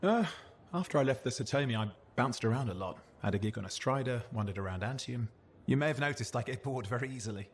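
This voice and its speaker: A lively young sidekick from a video game, acted by a man. He chatters in a mid-range voice that moves up and down freely, jokey and boastful with an insecure edge. Faint ambient noise sits behind him. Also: medium pitch, fast talker, natural voice. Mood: reminiscing. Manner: jokey, boastful, informal banter. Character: chatty, restless young male cipher sidekick, cocky and insecure. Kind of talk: naturalistic video game dialogue acting.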